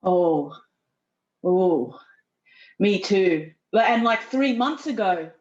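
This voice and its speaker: A woman's voice: mid-range voice, lively intonation, takes it slowly, a little mellow. Aussie woman with a bit of british mixed in, slangy candid chat, echoey dull audio. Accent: Australian, slightly blended with British. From Australia. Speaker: podcast guest, personal trainer. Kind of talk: casual podcast chat.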